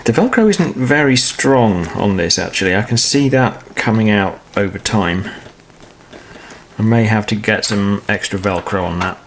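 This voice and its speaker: A man's voice: fairly low pitch, expressive, unhurried, a little hiss or hum. A British man presenting an informal step-by-step how-to demonstration in a matter-of-fact, explanatory way. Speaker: presenter of a how-to product installation video.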